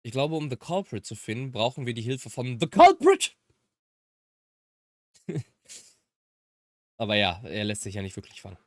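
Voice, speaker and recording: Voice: mid-range voice, big pitch swings, moderate pace, pauses often, no room sound, clean studio sound. A German native speaker giving casual gaming commentary, slipping between German and English. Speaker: let's player. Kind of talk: gaming commentary mixed with voiced read-aloud of dialogue.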